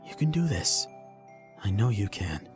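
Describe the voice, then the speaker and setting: A man's voice: fairly low pitch, very animated, a little slow, a little mellow, soft background music. A man playing a loyal, encouraging comrade urging vengeance in an amateur audio drama.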